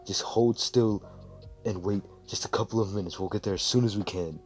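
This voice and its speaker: A man voicing a hurried, reassuring emergency dispatcher. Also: on the low side, soft background music, close to an everyday voice. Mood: urgent, reassuring. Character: calm adult emergency dispatcher.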